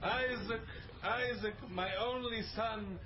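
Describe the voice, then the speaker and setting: An actor playing an anguished, solemn biblical patriarch, declaiming mournfully in a radio drama. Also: male voice, high-pitched, slow. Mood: mock anguish. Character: melodramatic stage patriarch Abraham, anguished and solemn. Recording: dull recording, noisy ambience.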